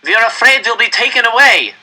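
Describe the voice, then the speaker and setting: Man voicing angry townspeople, high and forceful. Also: animated, fairly quick, full character voice, poor audio. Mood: fearful. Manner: high, loud, protesting shouts. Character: frightened, angry starving townspeople shouting from a crowd.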